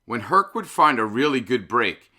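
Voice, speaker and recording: A man in his fifties hosting a children's read-aloud, speaking expressively with emphatic, deliberate phrasing. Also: mid-range voice, big pitch swings, pushed and bright. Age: 50s.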